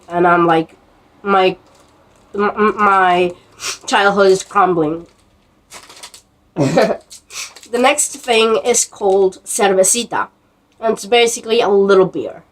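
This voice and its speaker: A woman in her twenties from Peru, rambling casually in a vlog, halting with many fillers and restarts. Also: medium pitch. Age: 20s. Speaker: vlogger.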